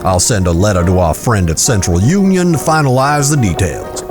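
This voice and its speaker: An actor playing a proud, calculating town boss in a western audio drama, speaking in a measured, formal, commanding way. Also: male voice, on the low side, sing-song, over music. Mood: calculating, smooth.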